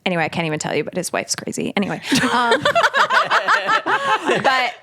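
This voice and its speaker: A woman's voice: lowish voice, animated, fairly quick, fairly bright tone, dry recording, clean studio sound. A woman hosting a podcast in casual chat, with a blunt, candid manner. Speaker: podcast host.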